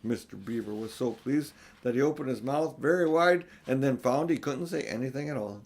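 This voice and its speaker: An older man narrating a children's audiobook expressively, with unhurried, deliberate phrasing. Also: mid-range voice, gravelly, darkish tone. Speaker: audiobook reader.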